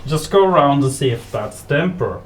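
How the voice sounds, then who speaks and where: A man's voice: medium pitch, expressive, brisk, recorded from a distance, slight background noise. A man chatting casually and playfully as a player in a tabletop game.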